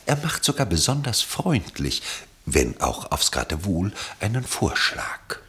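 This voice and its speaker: Narrator for radio drama: male, low and heavily breathy-rough, measured scripted storytelling with a dry irony. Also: big pitch swings, pushed and bright.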